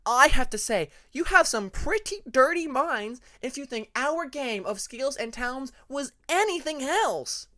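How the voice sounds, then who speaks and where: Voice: high-pitched, lively intonation, unhurried, hardly any pauses, sharp tone, voice pushed up, exaggerated voice. A narrator voicing a playful young female dragon, teasing and melodramatic, in an audiobook. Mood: mock offended. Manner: melodramatic, exaggerated emphasis.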